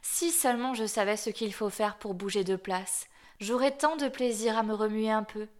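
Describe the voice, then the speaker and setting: A woman's voice: medium pitch, normal speed, lightly acted. A woman voicing a naive, newly built male snowman who asks innocent questions. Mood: musing.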